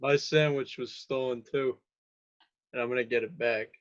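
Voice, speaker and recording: A man's voice: mid-range voice, fairly even intonation, moderate pace, muffled recording, close to an everyday voice. A man voicing a desperate office worker who is fixated on getting his stolen sandwich back, with intense outbursts. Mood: determined. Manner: intense, swings to shouting fury. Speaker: voice actor. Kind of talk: amateur dramatic reading.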